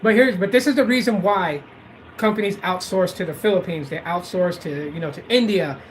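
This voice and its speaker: A man's voice: high-pitched, brisk, slight background noise, low-quality recording. Rambling man on a livestream. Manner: rambling, repeats words, drifts between loud and quiet. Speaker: livestream host. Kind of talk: casual livestream rant.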